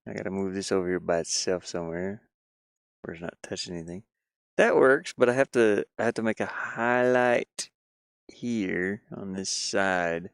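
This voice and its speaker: A man's voice: sits low, moderate pace, gravelly, clear, resonant tone, dry recording. A man talking himself through his work in a casual think-aloud commentary, muttering playfully. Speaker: game developer streaming his pixel art work.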